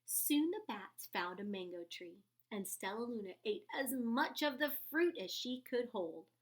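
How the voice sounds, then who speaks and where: A woman reading a children's story aloud with expressive narration. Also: fairly high pitch, a little slow. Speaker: storybook reader.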